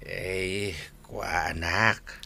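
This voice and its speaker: An actor playing a blustering, boastful old drunkard father in an Ilocano comedy radio drama, full of drawn-out exclamations. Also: deep voice, steady tone, gravelly, sharp tone, heavily acted. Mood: sheepish. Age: older. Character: boastful old drunkard father, proud of his policeman son.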